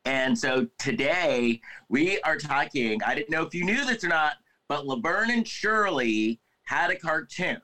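A man's voice: fairly high pitch, animated, unhurried, hardly any pauses, bright, forceful tone, poor audio. A man co-hosting a podcast in casual chat, rambling with many restarts and fillers. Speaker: podcast co-host.

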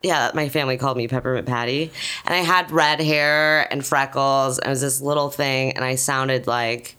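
A young adult woman guesting on a podcast, recorded at the host's home, who talks with a low voice in a thoughtful, candid way. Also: fairly even intonation, normal speed, fairly bright tone. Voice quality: low, husky-sounding voice. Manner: thoughtful and candid, with easy laughs. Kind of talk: casual chat.

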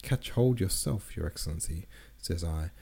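Guy reading as young peasant sleigh driver, respectful but boastful, low mellow voice, storybook style. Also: animated, normal speed, vocal fry, slightly rough, heavily acted. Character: cheeky, deferential young peasant troika driver, male, twenties.

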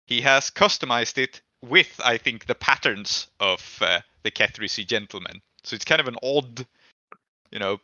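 A man running a tabletop game as game master, improvising narration in casual, halting speech as he thinks aloud. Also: mid-range voice, expressive, pushed and bright. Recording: echoey room, muffled dull audio.

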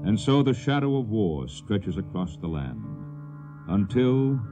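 A man giving solemn, measured, portentous scripted narration as host of a radio drama. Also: lowish voice, sing-song. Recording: dull radio tape. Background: plucked guitar music.

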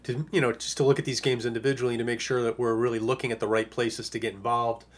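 A man talks through his picks in a loose, unscripted podcast monologue, his hoarse voice a little shot. He rambles and stacks fillers as he goes, at a pitch that sits in the ordinary middle for a man. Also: little pitch movement, quick pace. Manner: rambling, lots of uh and you know. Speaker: fantasy football analyst.

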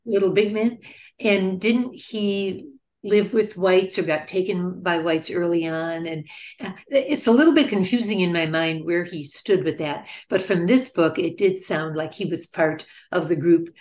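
A woman's voice: on the low side, a little slow, darkish tone. A woman taking part in a casual book discussion, speaking tentatively as she recalls things. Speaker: book club participant.

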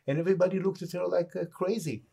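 An older man from Israel with an Israeli accent, a tour guide answering interview questions in a halting way with many fillers. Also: high voice, steady tone, creaky, mellow, husky. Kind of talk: casual interview chat.

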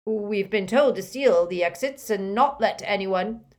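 A woman's voice: mid-range voice, a little slow, voice pushed up, lightly acted. A woman voicing a nervous balding male wizard official who stammers and whines. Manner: stammering, whiny.

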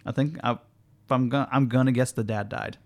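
A man's voice: mid-range voice, steady tone, a little fast, a little mellow. Man, Indiana accent, podcast co-host, casual joking chat. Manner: laid-back, joking, self-conscious about his speech.

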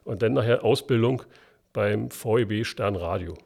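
Berliner guest, male, gravelly husky voice, talks plainly with restarts. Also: on the low side, moderate pace, darkish tone. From East Berlin, Germany. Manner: plainspoken, frequent restarts and fillers. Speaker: works council chair, guest. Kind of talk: casual interview talk.

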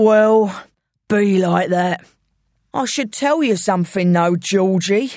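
A menacing London crime boss performed by a woman reading a story. He draws out his words slowly and taunts with false politeness. The sound is close and dry. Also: medium pitch, darkish tone, dry recording. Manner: slow, taunting, mock-friendly. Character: menacing male London gangster, mockingly polite ringleader.

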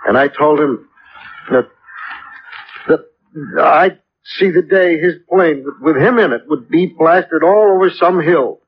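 An actor playing a distraught male ground-crew mechanic in old radio drama style, defensive, halting and stammering. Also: fairly high pitch, lightly acted. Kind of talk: 1950s radio drama acting. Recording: dull, hissy old radio recording.

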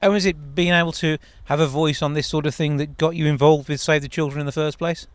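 A man hosting a radio show, asking steady, measured questions in a studio. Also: on the high side, dry recording. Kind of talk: radio interview.

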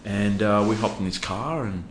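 Relaxed Australian man, deep voice. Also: moderate pace, slight background noise. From Western Australia. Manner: relaxed, laid-back, many fillers. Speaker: podcast guest, youth worker. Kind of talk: casual interview talk. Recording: echoey room.

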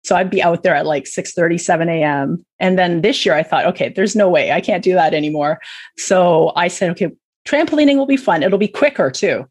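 A middle-aged Canadian woman with a husky, slightly low voice talks as a guest on a podcast. She is chatty and self-deprecating, telling things in a casual conversational way. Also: expressive, brisk, a little mellow. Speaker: podcast guest, charity executive director.

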